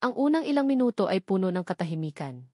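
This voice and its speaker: A woman's voice: mid-range voice, sing-song, quick pace, darkish tone, no room sound, studio quality. A woman reading a scripted romantic drama story as its narrator.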